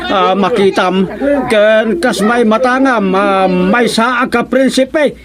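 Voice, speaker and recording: A hapless pretend prince in a radio comedy, speaking with a Filipino accent. His high voice moves slowly, stammering and pleading in exaggerated comic style. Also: a loud crowd. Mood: nervous pleading. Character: hapless comic impostor prince posing as royal barber.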